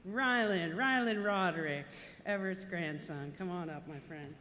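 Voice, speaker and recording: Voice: medium pitch, normal speed. A service leader affectionately introducing the next speaker at a memorial. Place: church hall with congregation. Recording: echoey hall with crowd noise.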